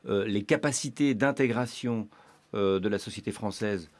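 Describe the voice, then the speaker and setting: A man's voice: fairly low pitch, moderate pace. A male journalist in a radio studio putting a question to a debate guest in hesitant, broken phrases.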